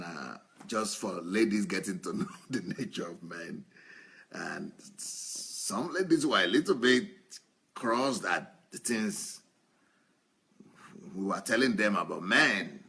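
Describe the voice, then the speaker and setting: A man's voice: on the low side, a bit bright, breathy edge. A man, a pastor, teasing his congregation in a playful church talk before his sermon. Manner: playful, jokes and laughs often.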